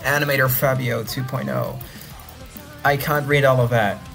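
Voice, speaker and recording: A young man whose first language is Dutch, rambling excitedly while reacting to an animation and thinking out loud. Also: mid-range voice, normal speed, faint music behind. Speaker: reaction video host. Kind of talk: casual reaction commentary.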